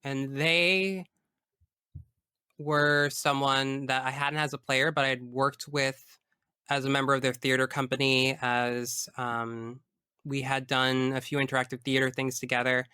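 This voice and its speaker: A man talks casually as an interview guest, with a bright, smooth voice. He is expressive and emphatic, full of enthusiasm. The sound is dry and close, without room echo. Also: mid-range voice, measured pace. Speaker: tabletop game master. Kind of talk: casual interview chat about personal experience.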